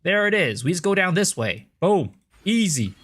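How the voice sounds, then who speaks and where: A young American man giving casual gameplay commentary as a livestreamer, chatting loosely and thanking viewers with big excited bursts. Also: high voice, normal speed, breathy edge.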